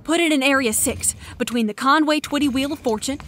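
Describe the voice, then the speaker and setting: An actress playing a suspicious bouncer at a roadside hot dog stand who talks in a curt, wary way. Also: female voice, high voice, fairly quick, a bit bright, a little hiss or hum.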